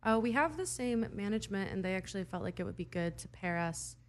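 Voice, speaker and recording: An earnest young woman from Idaho, a singer-songwriter, talks through her answers in a middle-pitched voice. She sounds thoughtful and lets small laughs slip in between her thoughts. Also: animated, fast talker. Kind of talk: interview answers.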